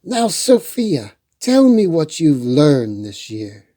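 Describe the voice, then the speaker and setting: A storyteller voicing a warm but firm aunt from a children's picture book. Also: medium pitch, big pitch swings, takes it slowly. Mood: warm, pleased.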